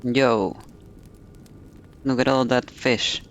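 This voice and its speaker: A man's voice: medium pitch, fairly even intonation, very slow. A man streaming a video game, reading the dialogue aloud and commenting on the story as he goes.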